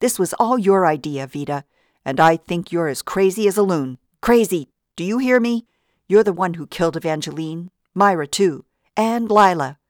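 A woman's voice: on the low side, animated, moderate pace, no room sound, clean studio sound, lightly acted. A woman narrator voicing Elbert, an agitated man protesting his innocence. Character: panicky anxious man accomplice.

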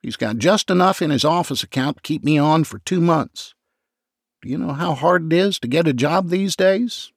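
A man doing a high, tearful, pleading nervous man. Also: very animated, moderate pace, slightly rough, no room sound, clean studio sound, exaggerated voice. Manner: pleading, whiny, on the verge of sobbing. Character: nervous tearful man fearing for his job.

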